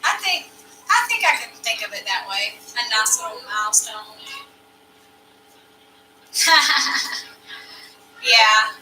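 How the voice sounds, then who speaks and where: A woman's voice: on the high side, expressive, slow pace, long pauses, sharp tone, reverberant, far from the mic, a little hiss or hum, poor audio. A woman around thirty from Arkansas, chatting casually and rambling with lots of fillers as she hosts a live stream. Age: about 30. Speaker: farm channel host reading live chat.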